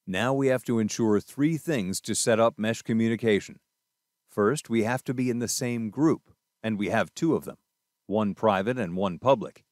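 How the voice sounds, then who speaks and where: A man's voice: mid-range voice, no room sound, clean studio sound. A man presenting a product tutorial, narrating scripted instructions step by step in a methodical way.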